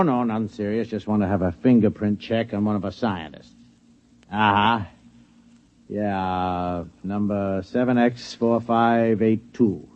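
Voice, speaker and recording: On a muffled old radio recording, a businesslike boss speaks with offhand authority. His voice is lowish and unhurried, in short matter-of-fact phrases. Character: businesslike male lab supervisor, casual authority. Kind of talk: 1950s radio drama acting.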